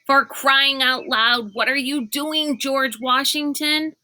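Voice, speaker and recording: A woman's voice: high-pitched, fairly even intonation, takes it slowly, pushed and bright, pitched above the performer's own voice, exaggerated voice. A woman voicing a cranky, stubborn little girl who grumbles and snarls in a storybook read-aloud.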